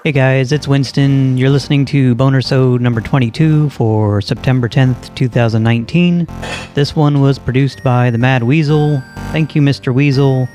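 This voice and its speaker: A man hosting a prank call show in a casual, deadpan and insistent way. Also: mid-range voice, moderate pace, over music. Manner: casual, insistent, straight-faced.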